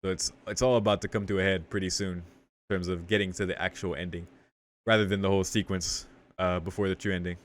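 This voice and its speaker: A man's voice: low-pitched, level tone, fast talker. A man streaming a visual novel, reading the story text aloud and reacting in casual, slangy language. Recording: music behind the voice. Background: game music.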